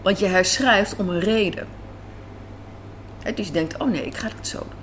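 A woman's voice: medium pitch, normal speed. A woman being interviewed as a writer, talking informally, thinking aloud and repeating phrases for emphasis. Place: café. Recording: faint background room noise. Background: faint café ambience.

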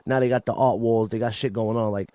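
New York rapper guest, mid-pitched, candid and halting, dry studio sound. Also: male voice, fairly even intonation, dark tone, no echo. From Coney Island, New York. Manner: candid, repeats words and restarts often. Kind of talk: casual interview talk.

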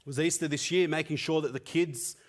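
A man's voice: a little high, steady tone, brisk. A man whose first language is Tamil, preaching a sermon in an earnest, conversational way with frequent fillers.

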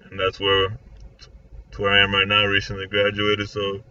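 Sharp, bright-voiced young American man. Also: fairly low pitch, completely flat delivery, normal speed. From Florida, United States. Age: 20s. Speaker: recent architecture graduate in conversation. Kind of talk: casual conversation. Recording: dry but distant, muffled mic.

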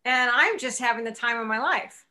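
Host, woman in her 60s; bright, somewhat high, encouraging and motivational. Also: animated, moderate pace. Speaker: podcast host. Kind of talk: interview chat.

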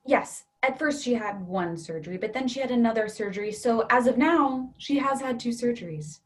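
A woman reading the role of a calm adult in a play reading, husky and matter-of-fact. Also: on the high side, normal speed. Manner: matter-of-fact, plain, flatly agreeing. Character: calm adult person who rearranged room. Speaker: actor reading first character. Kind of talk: readers theatre play reading.

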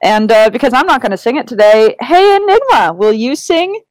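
A woman hosts a casual podcast interview in a high, very animated voice. She is excitable and exclamatory and swears freely. The sound is dry and close. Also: normal speed, dry recording, low-quality recording. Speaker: podcast host.

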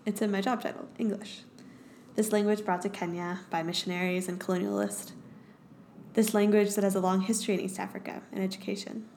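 A young woman with an American accent, hosting a podcast in measured, thoughtful scripted narration. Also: medium pitch, flat delivery, darkish tone, slight background noise. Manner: measured, thoughtful, reads in short phrases. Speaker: podcast host and English teaching assistant.

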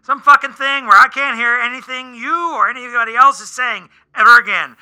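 A man's voice: very high-pitched, steady tone, brisk, pushed and bright, full character voice. An actor playing a sarcastic, exasperated man in a comedy radio play, evasive and melodramatic. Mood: evasive, flustered.

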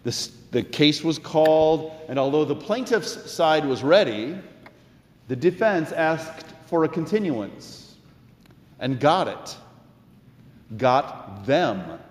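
A man's voice: fairly high pitch, sing-song, slow pace. An older American man, a pastor, giving a sermon with personal anecdotes in a reflective, conversational manner. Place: large indoor church. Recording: echoey hall with long reverb.

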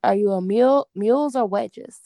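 A woman hosts a casual interview in a relaxed, friendly way. Her voice is mid-pitched and strongly gravelly with fry. The recording is dry and close. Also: moderate pace, no echo. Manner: relaxed, friendly, loose with fillers. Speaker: host, interviewer.

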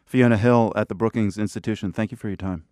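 A man hosting a radio news program, asking questions in a calm, measured way. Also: lowish voice, no room sound, studio quality.

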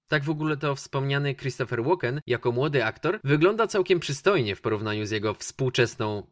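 A man's voice: medium pitch, a bit bright, dry recording, studio quality. A man hosting a radio film review, talking conversationally and addressing the listeners directly. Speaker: radio host reviewing films.

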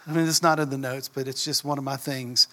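A man from Texas, preaching a sermon in a folksy, animated way and dropping to a whisper for emphasis. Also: medium pitch, fairly quick, long reverb tail. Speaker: pastor. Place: church.

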